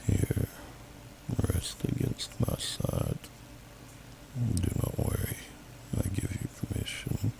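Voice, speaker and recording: A man voicing a huge, gentle older male dragon in a deep, gravelly half-whisper, with slow, courtly, old-fashioned speech in an ASMR roleplay. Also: wildly swooping pitch, heavily acted. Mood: welcoming, protective. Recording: noisy amateur home microphone.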